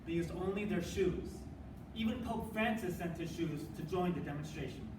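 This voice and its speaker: A woman's voice: on the low side, moderate pace. A young adult woman performing a rehearsed, dramatic readers theater piece as part of a student team. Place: indoor room, live stage performance. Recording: echoey room from far off, noisy.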